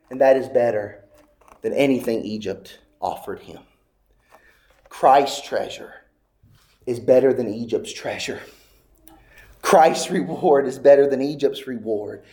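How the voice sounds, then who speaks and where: A man's voice: mid-range voice, a little mellow. A man preaching a sermon, building urgency with repeated rhetorical questions. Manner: preaching with rising intensity, repetitive rhetorical questions. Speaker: pastor.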